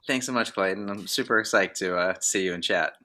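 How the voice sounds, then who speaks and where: Bright-toned man chatting about his youth. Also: deep voice, a little fast. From Northern Virginia, US. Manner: rambling, many "like" fillers and restarts. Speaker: guest drummer. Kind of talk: casual interview chat.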